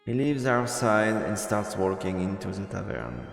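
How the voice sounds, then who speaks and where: Guy reading a visual novel out loud on stream, creaky voice, expressive, reverby room. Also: lowish voice, a little slow. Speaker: streamer, let's player. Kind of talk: reading visual novel narration aloud with commentary. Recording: echoey reverberant room, music behind. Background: game music.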